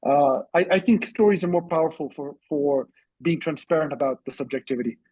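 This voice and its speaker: A man with a husky voice giving measured, reflective, slightly hesitant answers as a guest in an online discussion. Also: on the high side, darkish tone, no room sound, muffled recording. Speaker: interview guest, author and journalist. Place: online video call.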